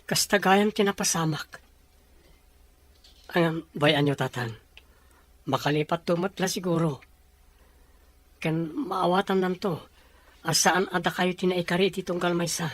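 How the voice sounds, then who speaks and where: A man with a Filipino accent playing a gentle, concerned young adult son in an audio drama. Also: sits high, fairly even intonation, measured pace, long pauses. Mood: gentle, hopeful. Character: concerned, gentle grown son talking with his father. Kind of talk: Ilocano audio drama acting.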